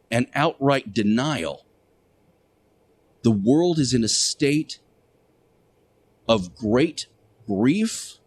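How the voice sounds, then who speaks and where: A man hosting a radio show, preaching in a deliberate monologue with emphatic short phrases, pauses and repetition. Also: medium pitch. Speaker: radio show host playing Jesus in interactive radio theater.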